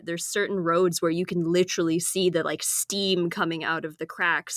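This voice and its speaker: A woman's voice: lowish voice, a bit bright, dry recording, studio quality. A woman co-hosting a podcast in casual chat, talkative and laughing often, her voice slightly strained from screaming. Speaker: podcast co-host.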